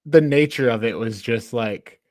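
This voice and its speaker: A man's voice: mid-range voice, moderate pace, darkish tone, no room sound, clean studio sound. Man in a casual, exasperated movie chat. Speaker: podcast co-host reviewing a film.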